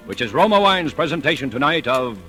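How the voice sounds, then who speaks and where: A man's voice: medium pitch, very animated, a little fast. A male announcer introducing a 1940s radio drama in a formal, declamatory manner. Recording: old radio broadcast over loud music.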